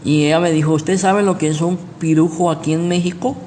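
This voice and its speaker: A man's voice: on the high side, fairly even intonation, a little fast, mellow. A man with a Guatemalan accent preaching in a didactic way, repeating his points and checking in with short rhetorical questions. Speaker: pastor, preacher. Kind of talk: sermon, teaching with personal anecdotes. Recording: echoey hall with long reverb, background noise.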